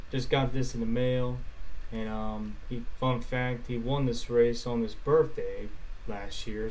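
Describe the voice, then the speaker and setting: Halting young man co-hosting a podcast. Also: medium pitch, darkish tone, a little hiss or hum, rough recording. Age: early twenties. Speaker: podcast co-host. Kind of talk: casual chat.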